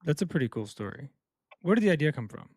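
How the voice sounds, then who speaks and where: A man hosting a podcast, chatting in a quick, upbeat and friendly way with his guest. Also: fairly high pitch, very animated, a little mellow, dry recording, studio quality. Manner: fast, upbeat, friendly banter. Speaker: podcast host. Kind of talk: casual interview.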